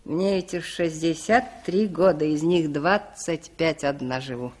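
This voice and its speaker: A careworn village widow of sixty-three in a 1960s Soviet radio play. Her voice sits fairly low for a woman, and she talks in a plain, folksy, grumbling way, full of mistrust. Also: measured pace, darkish tone. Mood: sorrowful. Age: older.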